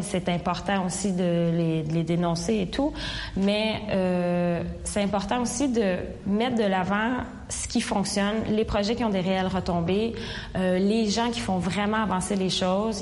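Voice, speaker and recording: A woman with a Canadian French accent giving a halting, spontaneous interview answer. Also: mid-range voice, a little mellow. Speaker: website founder being interviewed. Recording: reverberant room, faint ambient noise.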